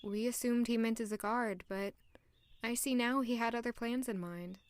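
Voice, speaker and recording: An actress playing a young female palace servant who is polite and deferential, speaking softly. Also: a little high, steady tone, normal speed, dry recording, natural voice. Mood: wistful. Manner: polite, deferential and soft-spoken. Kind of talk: audio drama acting.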